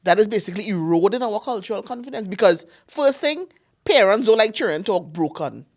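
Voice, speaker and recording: A woman's voice: mid-range voice, lively intonation, fairly quick. A woman from Trinidad with a Trinidadian accent, a teacher explaining her point earnestly in a casual panel chat.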